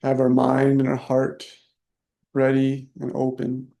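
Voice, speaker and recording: A man leading a guided meditation, soft-spoken and unhurried, leaving long pauses between short phrases. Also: mid-range voice, completely flat delivery, creaky. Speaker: meditation teacher.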